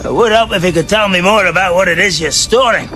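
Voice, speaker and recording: A man's voice: high-pitched, fast, sharp tone, faint music behind, poor audio. An actor playing a shrewd, wary craftsman in a video game.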